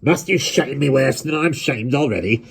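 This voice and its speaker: A man's voice: medium pitch, lively intonation, moderate pace, gravelly, husky, voice pushed up. A man voicing a stern, proud old museum keeper who speaks in a cold, clipped, formal way. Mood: contemptuous. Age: older.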